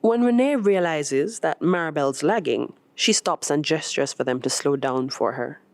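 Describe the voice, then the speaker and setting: A woman reading a short story as scripted narration, in an expressive, measured storytelling manner. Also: sits low, no room sound. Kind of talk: scripted narration of literary fiction.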